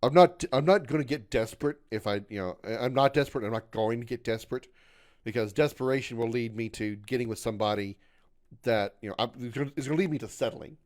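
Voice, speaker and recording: A man in his mid-thirties streams a game and talks casually to his viewers in a voice of ordinary, middle pitch. He sounds glum and runs himself down, circling back over the same points. He trips over his words again and again. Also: quick pace, a little mellow. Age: 30s. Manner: rambling and repetitive, stumbles over words; glum and self-deprecating. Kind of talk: casual livestream chat with viewers.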